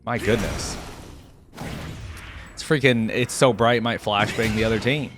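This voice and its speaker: A man's voice: on the low side, unhurried, over music. A man giving casual reaction commentary in an informal, slangy gamer way. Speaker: reaction video host.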